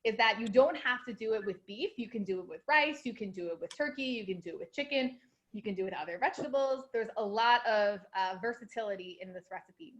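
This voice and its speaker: A woman's voice: a little high, lively intonation, fast talker, muffled recording. Bubbly young woman hosting a video call. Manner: bubbly and chatty, many fillers like "um" and "like". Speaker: host. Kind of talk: hosting a live online program.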